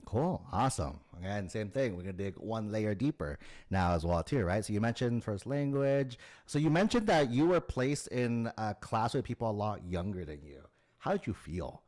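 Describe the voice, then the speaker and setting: A man's voice: fairly low pitch, big pitch swings, moderate pace, hardly any pauses, darkish tone. A man working as an admissions coach, asking layered follow-up questions in a casual, probing way and often tagging on "right?". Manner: probing, repeats "right?" and "as well too".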